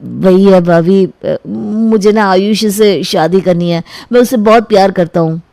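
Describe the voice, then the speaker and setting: A woman's voice: medium pitch, a little slow, gravelly, dark tone, dry recording. Woman as young male suitor, earnest, coaxing, eager, storybook dialogue, close dry sound. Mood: hesitant, eager. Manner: coaxing, reassuring. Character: earnest, pleading young man eager to marry.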